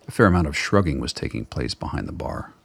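Male drama narrator, extremely deep and raspy, dry close recording. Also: lively intonation, fast, dry recording. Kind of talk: scripted radio play narration.

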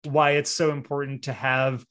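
A man's voice: medium pitch, little pitch movement, normal speed, a bit bright. A man in his forties, a writer guest chatting in a laid-back, rambling way, laughing often and using surfer slang. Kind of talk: casual podcast conversation.

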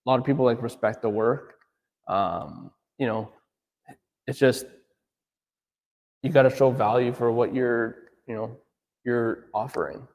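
A man's voice: medium pitch, measured pace, vocal fry, pauses often, darkish tone, big-room sound. A man in his thirties, an auto detailer talking casually in an interview, full of fillers and asking "right?" a lot. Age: 30s. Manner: rambling, filler-heavy, says "right?" often. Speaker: auto detailer, business owner.

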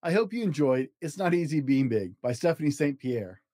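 A man hosting a storytime show and reading a children's book aloud expressively, in deliberate short phrases with an encouraging manner. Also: on the high side, sing-song, warm tone, dry recording. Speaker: podcast host reading picture books aloud.

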